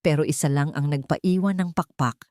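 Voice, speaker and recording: A woman's voice: low-pitched, normal speed, darkish tone, no room sound, clean studio sound, lightly acted. A woman voicing an earnest, remorseful father in love in an audiobook, solemn and heartfelt. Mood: tender, pleading. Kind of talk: dramatic audiobook dialogue.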